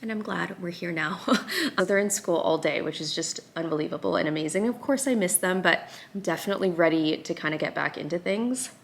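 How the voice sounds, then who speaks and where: Low, gravelly woman chatting at home. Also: brisk. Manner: chatty, upbeat, lots of "like" fillers. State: a little raspy, half awake. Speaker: lifestyle vlogger. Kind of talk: casual chatty vlog.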